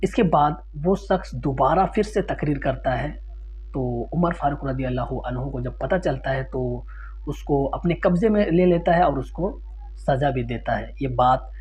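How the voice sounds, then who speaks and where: A man delivering an informal Islamic lecture, explaining didactically, citing sources and restating his points in simpler words. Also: on the high side. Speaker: Islamic religious teacher answering a ruling question. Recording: dull lo-fi sound with loud hum.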